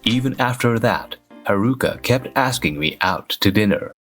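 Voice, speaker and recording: A man playing a reserved, awkward office worker who narrates his own manga-dub story in a measured, deadpan way. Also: lowish voice, faint music behind. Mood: measured, wistful. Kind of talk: scripted first-person narration in a manga dub.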